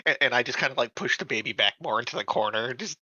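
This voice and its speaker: A man playing a tabletop roleplaying game, chatting casually and stammering excitedly through his character's actions, on slightly dull audio. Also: mid-range voice, fast talker, no echo. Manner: stammering, animated.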